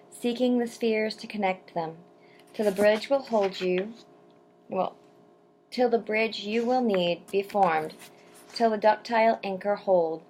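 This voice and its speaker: A young adult woman chatting to camera in a casual vlog, rambling with frequent ums and asides, and reading poetry slowly and deliberately. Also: medium pitch, darkish tone, slight background noise, poor audio. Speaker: vlogger answering viewer questions.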